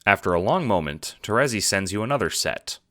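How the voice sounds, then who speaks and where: A man's voice: on the low side, very animated, a little fast, sharp tone, dry recording, studio quality. A male narrator reads a scripted story in the second person. It is audio drama narration, told directly to the listener.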